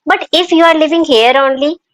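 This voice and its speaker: Indian woman, podcast host, halting and full of fillers, laughs often, slightly higher voice. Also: dry recording, rough recording. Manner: halting, with many fillers and restarts. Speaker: podcast host, English teacher. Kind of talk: casual conversational podcast.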